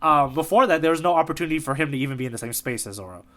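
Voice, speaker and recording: Quick, bright-voiced man in eager chat. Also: on the high side, expressive. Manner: eager, checks in with his partner. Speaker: podcast co-host. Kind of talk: casual manga discussion chat.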